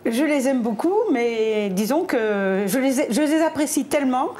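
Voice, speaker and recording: A woman's voice: high-pitched, lively intonation, moderate pace, warm tone. A middle-aged woman, a guest on a television talk show, speaking in an outspoken and emphatic way. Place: television studio.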